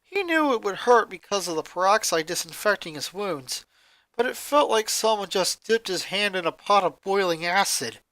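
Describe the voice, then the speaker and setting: A woman's voice: medium pitch, expressive, moderate pace, fairly bright tone, no room sound, rough recording. A woman narrating a fan fiction story from a script.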